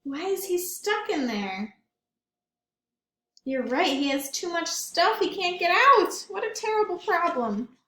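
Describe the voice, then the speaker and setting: Woman teacher, storybook read-aloud for kids; high voice, unhurried, echoey room. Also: very animated. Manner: gentle, slow, questioning. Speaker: teacher reading aloud to children. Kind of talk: children's read-aloud with questions. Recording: roomy echo.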